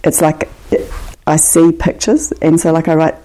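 A woman's voice: deep voice, flat delivery, unhurried, dark tone, rough recording. A woman with a New Zealand accent, an author reading poetry and chatting as a guest. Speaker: author and poet, interview guest. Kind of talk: poetry reading and casual chat. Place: at home, indoors.

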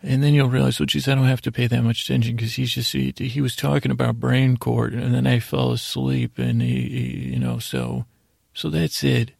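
A man with a heavily creaky, husky voice at a medium pitch hosts a bedtime podcast. He meanders and doubles back on himself in a halting, lulling monologue. The recording is dry and close, with no room sound. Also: darkish tone, no room sound. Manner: meandering, halting, self-interrupting, soothing intent. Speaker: podcast host.

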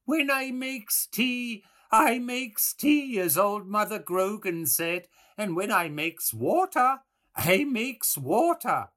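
A man's voice: high voice, animated, slow pace, sharp tone, pitched above the performer's own voice, heavily acted. A man voicing a mock wheedling old Irish country woman, with a thin voice, in a storybook reading. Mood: wheedling, comic.

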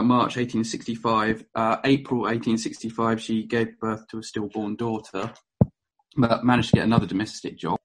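A man's voice: lowish voice, poor audio. A man giving an informal history talk to a room, full of ums and uhs, self-correcting and dropping wry asides. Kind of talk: informal spoken presentation to a live audience.

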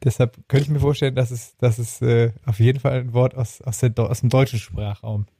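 A male podcast co-host, heard in a dry, close recording. His voice sits at a middle pitch, and he banters casually with teasing, mock-ranting delivery. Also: steady tone, fairly quick, mellow, husky, no room sound.

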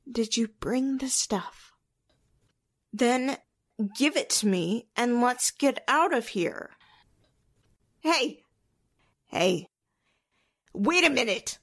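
Brassy, indignant dame for 1940s-style radio drama actress, highish voice, very deliberate delivery. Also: female voice, fairly bright tone, heavily acted. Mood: indignant, alarmed. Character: brash, frightened woman meeting someone at midnight.

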